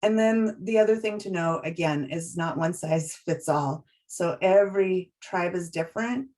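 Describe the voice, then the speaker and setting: A woman with a notably low voice gives a training presentation and moves through her slides step by step. She talks in a measured, explanatory way, pausing often and restarting her sentences. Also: darkish tone.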